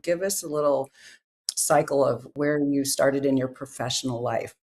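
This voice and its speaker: A woman's voice: very deep voice, moderate pace, a little mellow. A woman from Northern California hosting an interview, asking friendly, chatty questions. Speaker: podcast host.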